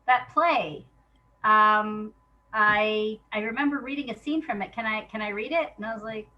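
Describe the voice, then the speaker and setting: A woman speaking as an interview guest, a playwright chatting casually about her work and telling it in an animated way, acting out remembered exchanges. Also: medium pitch, normal speed, dull audio.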